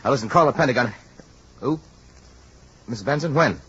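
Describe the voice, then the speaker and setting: An actor playing a brash, self-seeking, excitable American man with a pushy, punchy manner in a 1950s radio drama. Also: mid-range voice, fairly quick, slightly rough. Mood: bossy. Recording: dull, noisy old radio recording.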